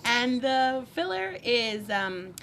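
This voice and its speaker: A woman's voice: a little high, big pitch swings, slow pace, a bit bright, slight background noise. Giggly woman presenting a cigar. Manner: giggly, reads product details. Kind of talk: casual panel chat.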